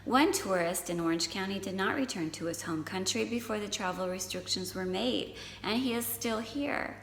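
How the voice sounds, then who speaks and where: A woman's voice: mid-range voice, moderate pace, echoey room, in a large hall, slight background noise. A woman anchoring a student news program, reading scripted segments.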